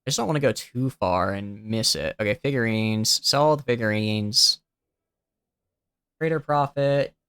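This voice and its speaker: Young male streamer, smooth youthful voice. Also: on the low side, normal speed, fairly bright tone, no room sound, studio quality. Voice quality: youthful-sounding voice. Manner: rambling, chatty, thinking aloud with fillers. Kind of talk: casual gameplay commentary.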